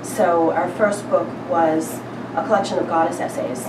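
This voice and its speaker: A lower-pitched woman addresses a live audience in the informal way of a small-press literary presenter. She is recorded from a distance in a roomy space, with clear noise on the recording. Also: steady tone, moderate pace. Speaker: publisher and editor presenting a reading. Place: live event room, away from the microphone.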